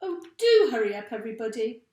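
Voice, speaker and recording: A woman's voice: on the high side, sing-song, fairly quick, voice pushed up. Lady doing a frantic bossy monkey dad from a kids storybook, barking orders, voice jumps around, roomy sound. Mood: frantic, urgent. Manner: barks shouted orders. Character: excitable bossy male monkey father, frantic and gleeful. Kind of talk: children's storybook character voice. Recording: echoey room.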